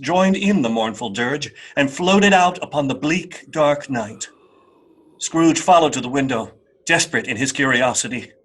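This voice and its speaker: A man narrating a radio play as a scripted, dramatic storyteller, with a slightly husky voice. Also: medium pitch, fairly bright tone.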